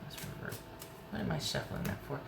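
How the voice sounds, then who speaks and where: A woman with a hoarse voice giving a chatty, rambling tarot reading, often restarting her sentences. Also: fast talker, echoey room, heavy background noise. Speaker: tarot reader.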